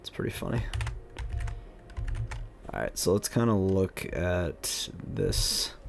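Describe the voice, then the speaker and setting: A young man with a hoarse, gravelly voice chats casually through a live stream, explaining each step of his photo editing and answering viewers. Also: sits low, slight background noise. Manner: chatty, talks through each step, greets chat. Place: home room at a desk. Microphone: headset.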